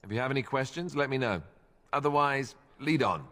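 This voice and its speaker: An actor playing a wry young warrior recruit in a fantasy video game, with a glib, sarcastic way of talking. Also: male voice, medium pitch, animated, fairly quick, a bit bright, close to an everyday voice. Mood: friendly, curious.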